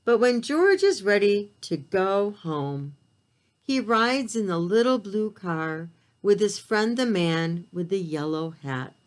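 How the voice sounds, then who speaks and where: A woman reading a picture book aloud to young children, expressive and talking to them in simple, child-directed language. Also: mid-range voice, slow. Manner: expressive storytelling delivery. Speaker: preschool read-aloud storyteller.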